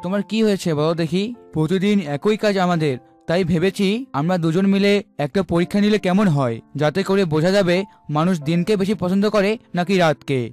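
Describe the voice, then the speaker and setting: A man's voice: high voice, a little fast, darkish tone, soft background music, lightly acted. A man voicing a proud, bickering talking sun or moon in a cartoon fable. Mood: curious, scheming.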